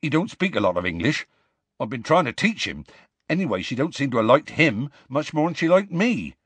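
A man's voice: medium pitch, very animated, fairly quick, a bit bright, husky, dry recording, voice pushed up. A man voicing a huge, tender-hearted half-giant man in an audiobook, anxious and earnest, speaking a rustic dialect.

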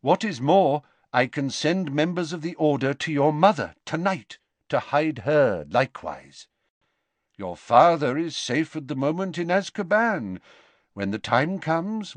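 A man voicing a calm, courteous elderly male wizard who speaks quietly. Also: a little high, dry recording, voice pushed up, exaggerated voice.

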